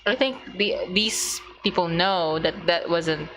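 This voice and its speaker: A woman's voice: lowish voice, slow pace, a bit bright, slight background noise, rough recording. A woman streaming a game, giving casual, amused and informal reactions to the story. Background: faint game music.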